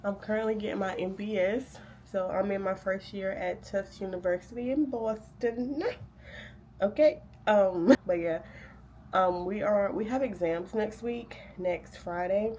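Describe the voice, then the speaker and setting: A woman's voice: mid-range voice, unhurried, dark tone, slight background noise. Nasal young woman with a cold. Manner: chatty, rambling, self-amused, lots of fillers. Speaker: vlogger and graduate student. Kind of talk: casual day-in-the-life vlog chat. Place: at home getting ready.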